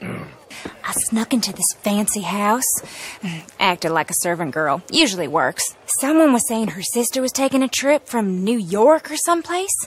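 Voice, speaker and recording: An actress playing a sly, streetwise young American outlaw woman in an Old West game, with a husky voice. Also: medium pitch, lively intonation, unhurried, a little hiss or hum, close to an everyday voice. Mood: sly, scheming. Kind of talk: video game cutscene acting.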